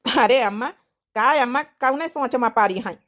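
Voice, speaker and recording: Cartoon role: woman performer as hot-headed young Awadhi village husband, high voice, indignant, complaining delivery. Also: normal speed, fairly bright tone, close to an everyday voice. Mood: casual. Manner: indignant, complaining rural speech. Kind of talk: Awadhi comedy cartoon voice acting.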